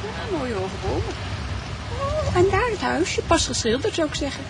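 Teasing wife, high lively voice, wry, sharp-tongued, radio play. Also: female voice, normal speed, vocal fry, exaggerated voice. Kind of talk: radio drama acting. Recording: noisy car ambience.

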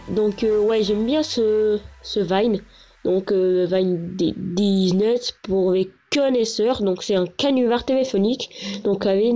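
A woman's voice: on the high side, mellow. A woman with a cold giving casual, rambling gameplay commentary from an echoing bedroom, full of fillers and restarts. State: stuffy-nosed with a cold. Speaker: gaming channel host. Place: small echoing bedroom, lying down. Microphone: handheld console camera. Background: game music.

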